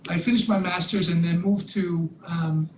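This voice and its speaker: A man's voice: sits high, fairly even intonation, normal speed, echoey room, slight background noise, low-quality recording. A man in his forties from Belgium, whose first language is Dutch, giving a talk on a video call with many fillers and restarts. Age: 40s. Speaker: guest speaker, hotel company president.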